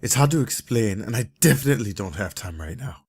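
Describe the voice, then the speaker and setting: A man playing a secretive, harried young jock in an audiobook drama, speaking in a halting, evasive but earnest way. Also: lowish voice, lively intonation, darkish tone, breathy edge, no echo, studio quality. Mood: earnest pleading. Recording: faint background noise.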